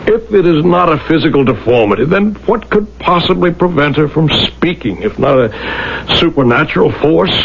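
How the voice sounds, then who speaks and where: An actor playing a gentle, devoted merchant husband, sorrowful and earnest, in a 1970s radio drama. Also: male voice, fairly high pitch, animated, normal speed, creaky. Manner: earnest, emotional, pleading. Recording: dull, noisy old radio recording.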